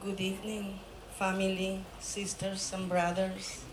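Chilean woman speaking slowly, in flat tones. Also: mid-range voice. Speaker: ceremonial fire leader. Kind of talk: ceremonial welcome speech. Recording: roomy, noisy live ambience.

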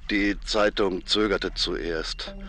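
A man acting a middle-aged fisherman and father in a radio drama, an earnest, haunted witness who tells his story haltingly and insistently. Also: sits low, steady tone, a bit bright. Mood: somber, recounting. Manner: halting, deliberate, repetitive storytelling.

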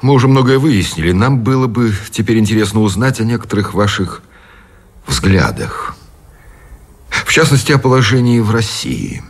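A probing official interrogator in a radio play. His husky, low voice swings widely in pitch. He stays polite and deliberate as he puts his questions. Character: courteous, probing male interrogator of a captured admiral. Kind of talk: Russian radio drama acting.

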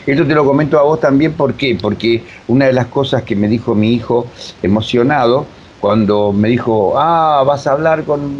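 A mature man with an Argentine accent explaining reflectively as an interview guest, with emphatic repetition and deliberate pauses. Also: medium pitch, darkish tone. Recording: dull lo-fi sound, faint noise.

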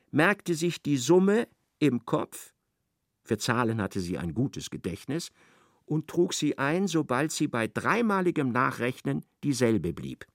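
An observant literary narrator in a radio play. His mid-pitched voice has a creaky grain and moves expressively up and down. Every phrase is articulate and measured, recorded very dry. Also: no room sound, full character voice. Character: precise, observant third-person male narrator of a novel.

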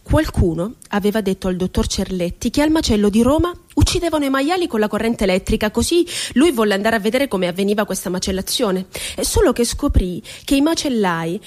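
An actress playing a bitterly ironic psychiatric inmate in a radio theatre monologue, telling her story in a deliberate, dramatic way. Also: medium pitch, expressive, darkish tone, lightly acted. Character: woman psychiatric inmate recounting asylum horrors, bitterly ironic. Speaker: stage actress.

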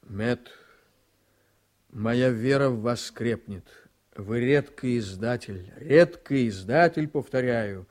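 Radio drama actor: male prosecutor, war veteran, self-assured, unhurried and measured, medium pitch. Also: darkish tone, close to an everyday voice. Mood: assured, appreciative. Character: confident, hard-driving district prosecutor, a war veteran. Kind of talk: Soviet-style radio play acting.

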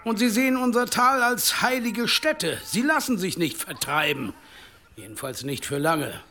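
A man's voice: high-pitched, expressive, unhurried, sharp tone, heavily acted. An actor playing a wary village man in a radio drama, reasoning earnestly. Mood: urgent caution.

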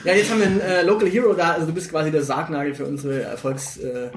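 A man's voice: on the high side, fairly even intonation, fast talker, a crowd in the background. A man with a Swabian accent hosting a podcast, chatting eagerly and cutting in with questions. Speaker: podcast host. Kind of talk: casual chat. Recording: echoey room with faint noise.

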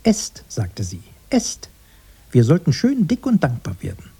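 A grown-up fairy-tale brother, querulous and full of his own importance. The voice is dark and husky, swinging widely in pitch as he declaims and corrects in radio-play style. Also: wildly swooping pitch, unhurried, exaggerated voice. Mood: bitter. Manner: emphatic, pedantic, declaiming. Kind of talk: German radio drama acting.

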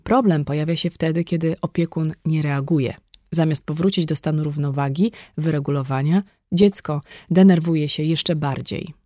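A woman's voice: deep voice, normal speed, mellow, dry recording, clean studio sound. A woman reading a conversational non-fiction audiobook in a scripted narration style. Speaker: audiobook reader.

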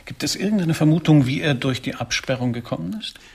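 An actor playing a suave, commanding research director in a radio drama, with diction and a gravelly, husky voice. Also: male voice, fairly high pitch, expressive, brisk, heavily acted. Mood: cool, businesslike. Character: Adam Burden, suave, coolly commanding research institute director. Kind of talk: German radio drama acting.

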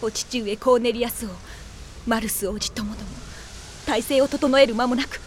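Actress as higher-voiced, solemn noblewoman. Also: fairly even intonation, moderate pace, slight background noise, close to an everyday voice. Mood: grave. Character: gentle, grave young noblewoman. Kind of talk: radio drama acting.

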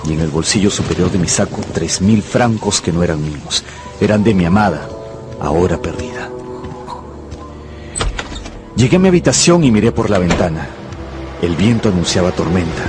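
An actor playing an anxious gambler who narrates his own ruin in a radio novel, brooding and intense, with dramatic pauses. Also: low voice, expressive, music in the background. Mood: dejected, urgent.

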